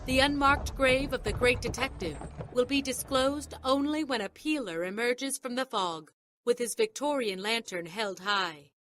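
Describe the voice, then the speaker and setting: A woman's voice: fairly high pitch, unhurried, fairly bright tone. A woman announcer reading scripted introductory narration.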